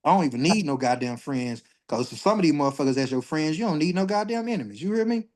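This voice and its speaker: A man's voice: fairly high pitch, lively intonation, fast, darkish tone. A man in his forties hosting an astrology livestream, talking casually and bluntly, with lots of profanity, and getting worked up when he rants. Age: 40s.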